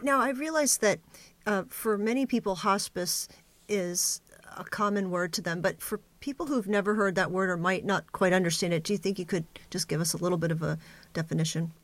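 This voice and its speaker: A woman hosting an interview, putting questions conversationally with measured, tentative phrasing. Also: medium pitch. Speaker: interviewer, program host.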